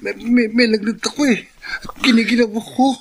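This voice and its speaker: An actor playing a sweet, sickly younger brother, a young man with a husky voice, in a Filipino radio drama. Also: very high-pitched, steady tone, normal speed, full character voice. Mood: weak, feverish.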